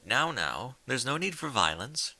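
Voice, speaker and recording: A man acting female canine creature, calm, coaxing, lifted pitch, dry close sound, storybook reading. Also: a little slow, dry recording, heavily acted.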